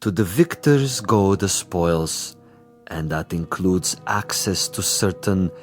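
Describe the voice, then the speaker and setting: A man's voice: sits low, animated, takes it slowly, faint music behind. A man playing a cultured, menacing commissar and assassin in a drama, speaking with theatrical mock courtesy and relishing his words. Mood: silky, probing. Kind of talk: dramatic TV-style dialogue acting.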